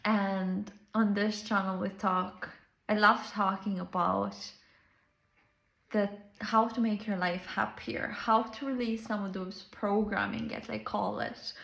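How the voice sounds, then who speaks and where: A woman with a Serbian accent, a Serbian native speaker, speaks slowly and earnestly to the camera, drawing out her phrases. Her grammar is simple and non-native. Her voice rings in a roomy, echoing space. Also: medium pitch, fairly even intonation, vocal fry, fairly bright tone. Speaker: life coach. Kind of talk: reflective monologue to camera.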